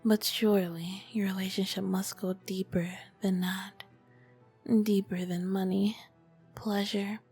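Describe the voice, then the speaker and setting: Woman playing a slow, whispering, seductive vampire queen. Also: mid-range voice, level tone, faint music behind. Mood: confident, persuasive. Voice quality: soft, breathy whisper. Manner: slow, elegant, formal, seductive diction. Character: ancient royal vampire queen, female, charming, flirty, possessive, poised. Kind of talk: whispered ASMR roleplay.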